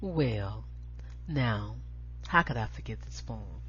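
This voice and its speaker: An American woman with a husky voice, hosting and reading spoken-word poetry with an emphatic, deliberate delivery. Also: very deep voice, expressive, a bit bright. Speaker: show host and poetry reader. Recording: dull lo-fi audio.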